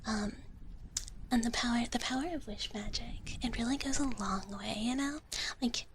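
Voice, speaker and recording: Lady playing cute dragon big sis whispering asmr on stream, soft breathy, kinda high, playful, some noise behind. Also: normal speed, natural voice. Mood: shy, sweet. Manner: sweet, hesitant, bubbly, speaks of herself in third person. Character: cute, playful young female wish dragon big sister. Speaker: livestream host. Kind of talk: live ASMR roleplay, whispered chat to viewers. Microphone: close ASMR microphone.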